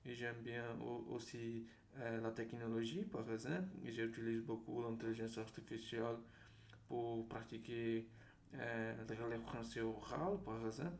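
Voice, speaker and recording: Male vlogger, Brazilian accent, native Portuguese speaker, lowish-to-middle pitch, halting unscripted delivery with repeats, echoey room with faint noise. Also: level tone, vocal fry, darkish tone. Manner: halting, repeats words and phrases. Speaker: language vlogger. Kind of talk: unscripted monologue to viewers. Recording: roomy echo, faint background noise.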